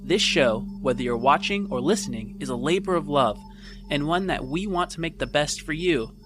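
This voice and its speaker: A man's voice: a little high, lively intonation, normal speed, over music. A man hosting a tabletop role-playing show as its game master.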